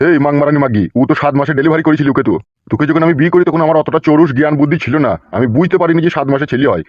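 A man's voice: fairly high pitch, rapid-fire, dry recording, muffled recording, natural voice. A man voicing an argumentative, foul-mouthed village father in a cartoon. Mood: angry scolding. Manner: gruff insults, argumentative.

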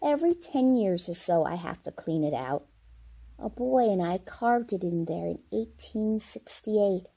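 Creaky, stubborn old grandmother played by a woman. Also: medium pitch, expressive, unhurried, warm tone, full character voice. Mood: wistful, blunt. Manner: querulous, blunt. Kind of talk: old-time radio comedy acting.

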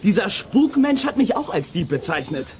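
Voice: medium pitch, sing-song, normal speed, faint music behind. An actor playing a cheeky, stubborn boy detective in a youth audio drama, talking in a colloquial, sarcastic way. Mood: defensive.

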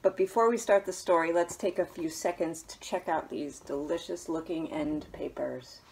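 A woman in her thirties, a children's librarian, reading a picture book aloud at home in a small living room, gently and with soft hushed asides. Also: lowish voice, normal speed, breathy edge, low-quality recording.

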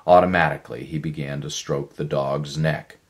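A man's voice: very deep voice, fairly even intonation, normal speed. A man, reading a story as dramatic scripted narration. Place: small living room with a stove.

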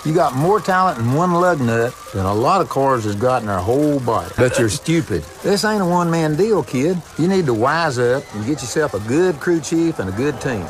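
A man's voice: a little high, noisy background, natural voice. A man playing a folksy veteran race car. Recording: film audio with music.

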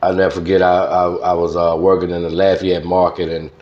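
Older man, low voice, Louisiana Southern accent, easygoing folksy storyteller in a muffled recording. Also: flat delivery, a little mellow. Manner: unhurried, folksy, with frequent "man" and "you know". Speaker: interview guest, music promoter. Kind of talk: casual reminiscing interview. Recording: roomy, dull lo-fi sound.

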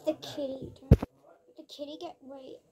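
A woman's voice: sits high, little pitch movement, measured pace, crowd noise. A young girl chatting casually while playing a video game, giggly and talking to her viewers. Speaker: gamer. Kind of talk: casual gameplay chat.

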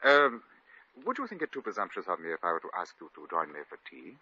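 An actor in a 1950s radio comedy playing a courtly, smitten English lord with a posh British accent and an effusive, slightly flustered manner. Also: male voice, low-pitched, huge pitch swings, quick pace, sharp tone, slight background noise, heavily acted. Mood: charming, polite. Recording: dull old 1950 radio recording.

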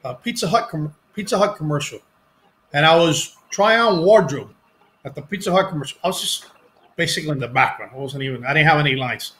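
Bright-voiced man with a Cuban accent. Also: a little high, a little fast. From Cuban family. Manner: animated, acts out quoted voices, restarts sentences. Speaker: actor, interview guest. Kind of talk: casual storytelling interview. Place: home room, video call. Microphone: headset.

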